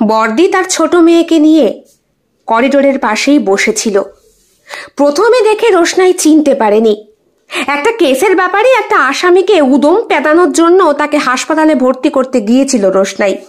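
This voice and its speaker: A woman's voice: high voice, lively intonation, moderate pace. A woman reading a scripted suspense story in a dramatic storytelling style. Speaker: audio story narrator.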